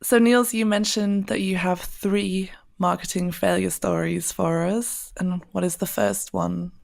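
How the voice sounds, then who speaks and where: Casting: female podcast co-host, mid-pitched, gravelly fry, playful banter. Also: steady tone, measured pace, a little mellow. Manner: playful, teasing. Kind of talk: casual podcast chat. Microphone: podcast microphone over video call.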